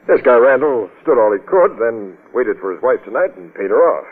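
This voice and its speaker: An actor playing a curt, commanding, easily exasperated police captain in an old-time radio drama. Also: male voice, mid-range voice, big pitch swings, brisk, breathy edge. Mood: brisk, skeptical. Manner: curt, authoritative, questioning. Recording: muffled, narrow-band old radio recording.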